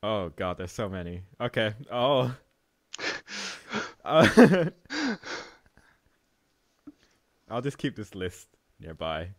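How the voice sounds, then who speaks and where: A man's voice: on the low side, very animated, drawn-out words, long pauses, no echo. A man, an amateur voice actor, talking casually between takes.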